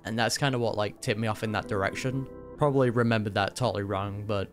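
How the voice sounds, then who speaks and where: A man's voice: lowish voice, fairly even intonation, fast, faint music behind. A man giving casual reaction commentary on a game, musing aloud with some hesitation.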